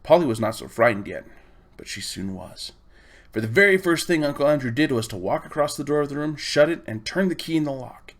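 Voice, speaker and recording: A man reading a children's storybook aloud in expressive, measured phrases. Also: fairly high pitch. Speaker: audiobook reader. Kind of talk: storybook narration.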